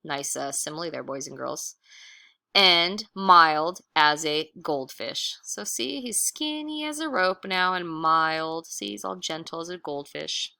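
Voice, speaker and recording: A woman reads a children's book aloud in a bright, slightly low voice. She is expressive and encouraging, like a teacher. She often steps out of the story to explain a word or picture in a chatty way. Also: measured pace, vocal fry. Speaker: teacher reading aloud to pupils.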